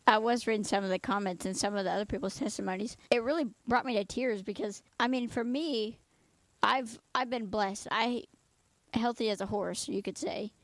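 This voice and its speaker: A woman's voice: a little high, normal speed, no echo. Chatty young woman interviewer, dry sound. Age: 20s. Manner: friendly, chatty, rambling questions. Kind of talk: casual interview.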